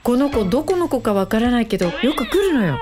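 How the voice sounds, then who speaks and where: An actress playing a bossy, upbeat, affectionate woman matchmaker in a radio drama, brisk and coaxing. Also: fairly high pitch, big pitch swings, music in the background. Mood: bossy, encouraging.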